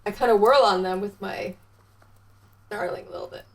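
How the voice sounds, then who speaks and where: A woman's voice: medium pitch, moderate pace, rough recording. A woman describing her character's moves casually as a player in a tabletop game. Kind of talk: casual in-game role-play chat.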